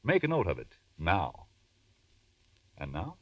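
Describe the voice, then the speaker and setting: Animated low-voiced male radio pitchman. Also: slow pace, dry recording. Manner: emphatic salesmanship. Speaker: commercial announcer. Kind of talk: 1940s radio advertising pitch. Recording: muffled, distant vintage radio recording.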